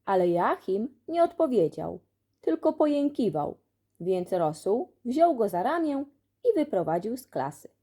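A woman narrating a children's audiobook expressively, telling the story in an animated way. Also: a little high, unhurried, slightly rough. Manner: animated storytelling, acts out dialogue. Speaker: audiobook reader.

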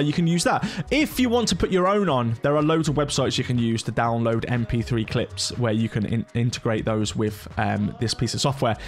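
A middle-aged man talking informally through a casual tutorial, in the manner of a gaming content creator. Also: medium pitch. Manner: chatty, informal.

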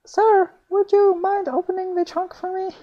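Dude playing a chatty college girl, super high falsetto, soft edged tone, reading a visual novel. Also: flat delivery, normal speed, highs cut off. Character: young female art student, chatty and girlish. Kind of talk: visual novel read-aloud.

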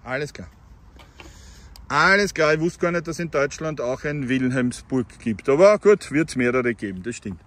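A man's voice: medium pitch, lively intonation, moderate pace, a bit bright. A man with an Austrian accent chatting casually as a CB radio hobbyist, recorded outdoors. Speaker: amateur CB radio operator filming his meetup. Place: outdoors by a roadside.

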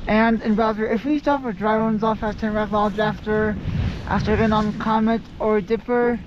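High-voiced man chatting on a windy chairlift. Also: fairly even intonation, moderate pace. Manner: chatty, rambling, repeats himself. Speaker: vlogger filming a chairlift ride. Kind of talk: casual chat. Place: outdoors on a ski chairlift in snow. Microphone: camera on a pole. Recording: wind-buffeted dull camera audio.

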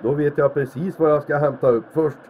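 Secretive crewman, relaxed, heard through a phone-like radio filter with faint noise, audio drama. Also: fairly high pitch. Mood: easygoing. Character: easygoing secretive male crewman reporting by radio. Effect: radio transmission filter. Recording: tinny band-limited radio link, faint noise.

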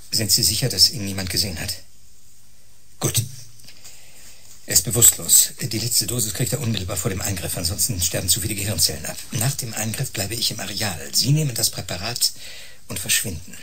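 Actor as a low-voiced, sinister doctor. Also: male voice, background noise, close to an everyday voice. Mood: coldly businesslike. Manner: measured, placating. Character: smooth-talking, falsely soothing male doctor with sinister intent. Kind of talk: German radio drama acting.

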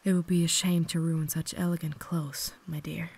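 Woman playing a whispering, low, creaky vampire lady. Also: flat delivery, normal speed. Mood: caring, gracious. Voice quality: soft, breathy whisper. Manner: refined, formal, gentle phrasing. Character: cultured, caring vampire lady, secretly holding back. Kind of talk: ASMR roleplay, whispered and intimate. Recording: noticeable background ambience noise.

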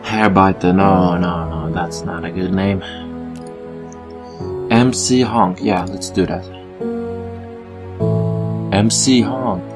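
Man, Swedish accent, deep voice, gravelly, slow let's-play commentary, game music loud behind. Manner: relaxed, thinking aloud while playing, slow with fillers. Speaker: gameplay commentator.

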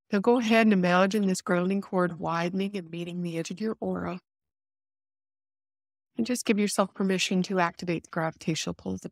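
Female meditation guide, lowish pitch, calm instructional delivery with gentle pauses, very dry sound. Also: no room sound. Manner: soothing, unhurried, instructive with pauses.

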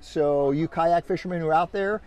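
A middle-aged man hosting a fishing show, with a voice pitched a bit above the usual male range. He talks casually and upbeat, often repeating his guests' answers back to them. He is recorded outdoors on a beach through a camera mic at a short distance. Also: moderate pace, a little mellow. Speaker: fishing channel host. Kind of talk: casual on-site interview. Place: outdoors on a beach, under a cart canopy.

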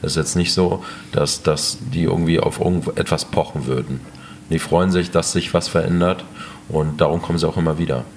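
A man who is a chef, answering interview questions in a relaxed, hesitant way with many fillers. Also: extremely low pitch, a little fast, slight background noise. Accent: German. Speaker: chef, restaurant owner. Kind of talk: casual interview talk.

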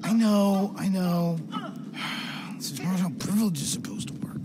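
Man playing whiny, high-voiced young American slacker. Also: moderate pace, background noise, lightly acted. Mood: sulky. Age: young adult. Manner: whiny, petulant. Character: whiny, entitled young slacker arcade worker. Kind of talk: video game cutscene acting. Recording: music behind the voice.

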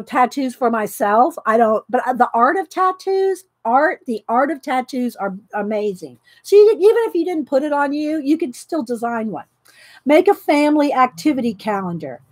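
A middle-aged woman hosting a casual craft chat from her home craft room, talking loudly and laughing often. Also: high-pitched, no echo. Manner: loud, chatty, laughs often. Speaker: craft video host. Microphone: overhead camera pointing down at desk.

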